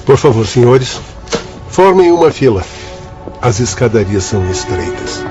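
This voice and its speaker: A man's voice: medium pitch, big pitch swings, measured pace. An actor playing a dignified father and doctor, speaking in a courteous, instructive way in a radio drama. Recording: dull lo-fi audio with music bed.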